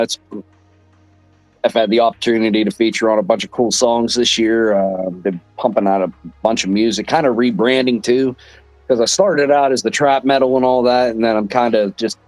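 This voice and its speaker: A hoarse man, a rock singer appearing as a guest, talking in a laid-back, rambling way with many fillers. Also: mid-range voice, a little mellow, dry recording, poor audio. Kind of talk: casual chat.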